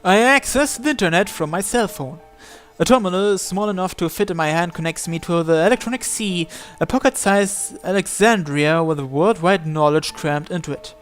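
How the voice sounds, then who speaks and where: High-pitched man reading game story aloud. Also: normal speed, few pauses. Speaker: gamer reading a visual novel aloud. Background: background music.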